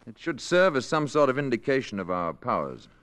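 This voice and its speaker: An actor playing a calm, dignified alien visitor in human form, speaking in a measured, courteous way in a 1950s radio drama. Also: male voice, medium pitch, clear, resonant tone. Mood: calm, guarded. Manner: measured, courteous, quietly assured.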